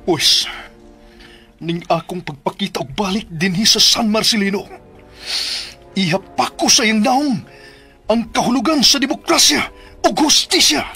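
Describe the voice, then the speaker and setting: A man playing an earnest, defiant son in a declamatory Philippine radio drama, with a husky voice. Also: high voice, measured pace, pauses often, bright, forceful tone, soft background music, heavily acted. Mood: defiant resolve. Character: earnest, defiant young son returned to his hometown.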